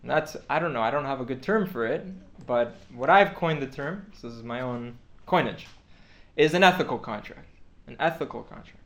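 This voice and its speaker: A man's voice: medium pitch, expressive, brisk, a bit bright. A man teaching a class, explaining points at length with frequent restarts and fillers. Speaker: teacher of Torah law. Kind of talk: classroom lecture.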